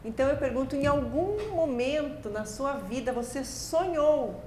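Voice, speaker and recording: A woman hosting an interview who asks measured, reflective questions. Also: high voice, mellow. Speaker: interview host. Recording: echoey room, faint background noise.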